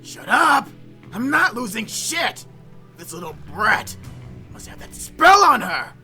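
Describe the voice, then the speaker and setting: A voice actor playing a cruel male devil gang leader in a visual novel, moving from sneering whispers to sudden furious shouts. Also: medium pitch, big pitch swings, slow, faint music behind, full character voice.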